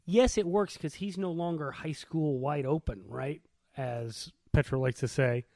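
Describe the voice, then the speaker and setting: A man's voice: a little high, measured pace, vocal fry, darkish tone, no echo, clean studio sound. An American man chatting casually as a sports talk co-host, informal and joshing in his manner. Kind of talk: casual chat, sports banter.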